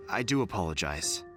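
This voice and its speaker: Polite game city guard: very deep, animated, apologetic, music underneath. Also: male voice. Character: polite, mild-mannered city guard man. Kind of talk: video game voice acting.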